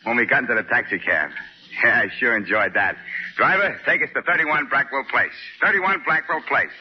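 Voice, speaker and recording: An actor playing a naive, chatty down-and-out drifter with folksy, ungrammatical talk, in 1940s radio style. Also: male voice, mid-range voice, moderate pace, husky, close to an everyday voice. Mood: chatty, pleased. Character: chatty, naive down-and-out male drifter, eager to please. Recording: dull, noisy vintage 1940s radio recording.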